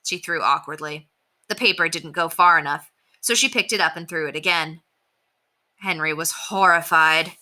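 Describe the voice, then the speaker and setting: A woman's voice: sits low, normal speed, sharp tone. A woman reading a children's chapter book aloud as a storyteller, in scripted narration.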